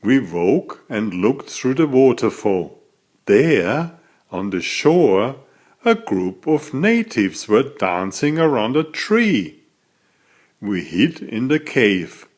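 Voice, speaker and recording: A man reading a children's story aloud as a narrator, in a deliberate, dramatic storytelling manner. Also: medium pitch, sing-song. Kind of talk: expressive scripted storybook narration.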